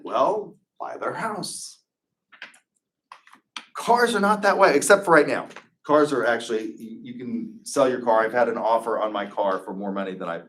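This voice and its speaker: A man's voice: on the low side, a bit bright, a little hiss or hum. Chatty middle-aged man lecturing. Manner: chatty, rambling, many asides and restarts. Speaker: marketing professor. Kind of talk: casual classroom lecture.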